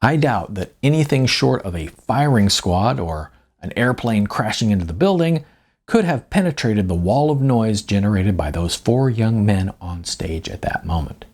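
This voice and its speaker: A man with a gravelly, husky voice reads his own story as an author, phrasing it deliberately with dramatic emphasis. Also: fairly low pitch, lively intonation, darkish tone. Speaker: author reading own novella. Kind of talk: audiobook narration, first-person storytelling.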